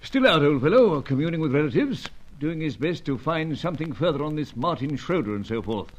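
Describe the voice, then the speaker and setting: An actor playing a fussy, avuncular older British gentleman who runs a spy service, in a vintage radio serial. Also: on the high side, lively intonation, brisk, lo-fi sound. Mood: exasperated, blustering. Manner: blustering, avuncular old-boy phrasing.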